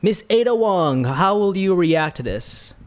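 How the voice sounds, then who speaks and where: A woman streamer giving casual, playful and excitable commentary as she plays a video game, sometimes swearing. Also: on the low side, a little slow. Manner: playful, excitable, profane, given to silly repetition. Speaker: streamer reacting while playing a video game.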